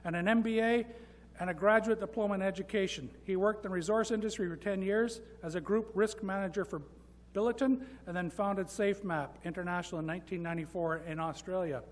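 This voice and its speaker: A man's voice: high-pitched, fairly quick. A man acting as conference emcee, reading out a guest speaker's credentials and then cutting it short. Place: conference hall.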